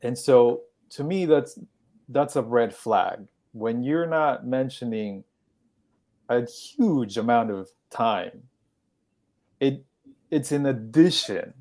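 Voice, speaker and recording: A man from Peru speaking on a podcast, explaining things in a measured way with pauses and restarts. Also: medium pitch, expressive, a little mellow. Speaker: podcast guest. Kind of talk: conversational podcast talk.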